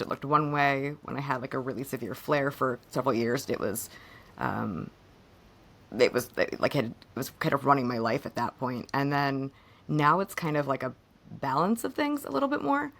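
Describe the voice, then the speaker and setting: A hoarse woman co-hosting a podcast, chatting casually and haltingly about personal matters, her voice fading a bit. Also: rumbling low, animated. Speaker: podcast co-host.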